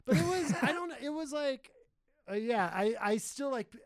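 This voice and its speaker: A young man chatting as a podcast guest, quick with jokes at his own expense. He is close on the mic in a dead, dry space. He slides into loud mock voices for comic effect. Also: expressive, dry recording. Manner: joking, self-deprecating, bursts into loud high mock voices. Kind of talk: casual chat.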